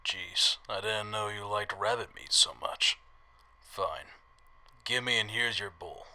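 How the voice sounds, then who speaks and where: A man's voice: fairly low pitch, slow pace, sharp tone, exaggerated voice. A man playing a no-nonsense food-stall cook in a wasteland audio drama, blunt and matter-of-fact. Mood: grudging.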